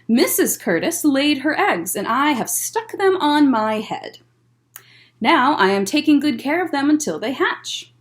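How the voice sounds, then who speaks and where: A proud fish father from a picture book, read aloud by a woman. He sounds contented and matter-of-fact, in a fairly high voice. The telling is slow and cheerful, with lots of melody. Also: big pitch swings.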